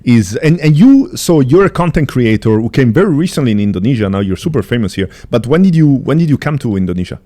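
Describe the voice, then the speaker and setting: A man hosting a casual podcast interview, asking questions in a halting, chatty way. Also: medium pitch, lively intonation, warm tone. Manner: halting and chatty, with frequent restarts and drawn-out phrasing. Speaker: podcast host.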